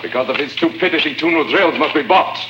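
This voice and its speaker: An actor playing a stern, bullying chief guard in a radio drama, barking formal commands. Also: male voice, a little high, quick pace, close to an everyday voice. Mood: harsh, threatening. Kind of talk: 1950s radio drama acting. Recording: muffled, noisy, echoing old radio recording.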